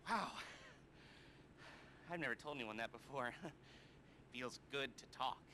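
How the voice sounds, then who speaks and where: An actor playing an awkward, neurotic middle-aged criminal heist planner in a video game, with an American accent and a halting, nervous, wry delivery. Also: male voice, mid-range voice, sharp tone, slight background noise. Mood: awkward, confessional. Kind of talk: video game cutscene acting.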